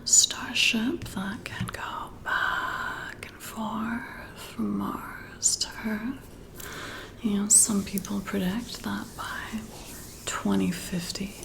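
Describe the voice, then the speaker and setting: A woman speaks in a hushed half-whisper for ASMR, intimate and musing. Her pace is slow and unhurried, and her voice breaks into heavy creak. It feels like a casual one-sided chat with the listener. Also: mid-range voice, steady tone. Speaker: ASMR roleplay performer.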